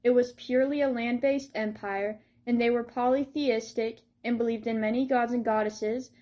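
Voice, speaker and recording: A woman's voice: a little high, fairly even intonation, normal speed, warm tone. A young woman giving a scripted history presentation in the role of a soldier. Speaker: student presenter playing a Janissary.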